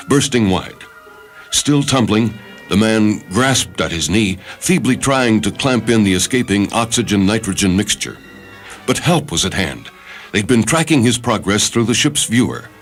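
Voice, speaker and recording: Man narrating a short story, really low voice, measured and dramatic, soft music behind. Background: background music.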